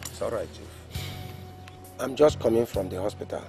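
A man playing a deferential visitor in a film drama, speaking in a measured, polite and slightly hesitant way. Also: lowish voice, gravelly, music in the background. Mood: concerned, polite. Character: deferential visitor bringing hospital news to a chief.

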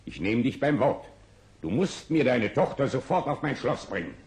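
A man's voice: mid-range voice, big pitch swings, a little mellow. A man playing a greedy, stern king with an imperious, pompous delivery in an old fairy-tale radio play. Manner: pompous, imperious, drawn-out words. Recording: long reverberant hall sound.

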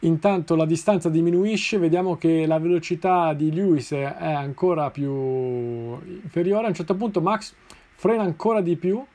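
A man in his thirties from Italy giving informal, explanatory race commentary in a measured way, often pausing mid-sentence. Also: a little high, warm tone. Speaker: motorsport video host. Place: small indoor room, seated in a sim racing seat.

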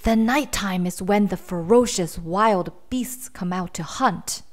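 A woman's voice: medium pitch, measured pace, natural voice. A woman voicing a bold small boy in a storybook, emphatic and boastful with scary tales. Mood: ominous.

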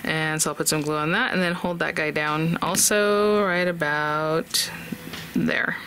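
A woman's voice: low voice, steady tone, a little slow, fairly bright tone, a little hiss or hum. A woman hosting a casual craft tutorial, chatty and upbeat, thinking aloud as she works at an indoor craft desk. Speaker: craft channel host. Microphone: overhead camera on desk, voice off-camera.

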